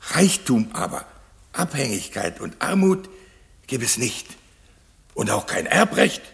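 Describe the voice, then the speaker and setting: Kindly old adviser in radio drama, creaky, slow halting speech, earnest dreamer. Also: male voice, medium pitch, exaggerated voice. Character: kindly, idealistic old male royal counsellor. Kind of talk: German radio play acting.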